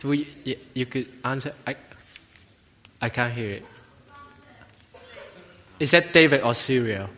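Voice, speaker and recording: A man with a Hong Kong accent giving an informal, jokey, halting sermon as a lay minister to a church congregation. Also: medium pitch, fairly bright tone. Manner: halting, jokey, self-deprecating. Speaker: assistant lay minister preaching. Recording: long hall reverb.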